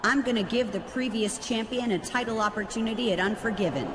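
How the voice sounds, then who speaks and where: An actress playing a haughty, commanding company executive taking charge. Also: female voice, on the high side, brisk, background noise, natural voice.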